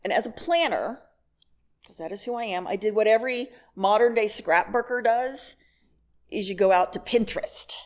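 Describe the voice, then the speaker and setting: A woman's voice: mid-range voice, normal speed. A middle-aged woman giving a candid, wry personal talk to a congregation, telling stories and acting out bits of dialogue. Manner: laughs as she talks. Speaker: guest speaker at a church service.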